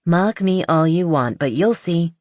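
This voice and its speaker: A woman's voice: on the low side, expressive, a little slow, a little mellow, no echo, clean studio sound, pitched above the performer's own voice. A woman voicing a cheerful, artsy, chatty young woman in an audiobook romance. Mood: playful, earnest. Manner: chatty and enthusiastic. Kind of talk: audiobook character dialogue.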